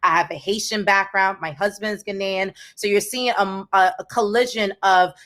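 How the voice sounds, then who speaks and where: A woman's voice: medium pitch, normal speed. A young woman with an American accent, speaking energetically as a panelist on a video call. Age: young adult. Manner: energetic, emphatic, repeats words.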